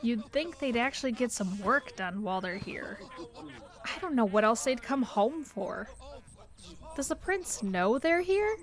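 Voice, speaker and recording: An actress playing a reserved palace guard in an audio drama, with a terse, dry manner. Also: female voice, fairly high pitch, expressive, a little slow.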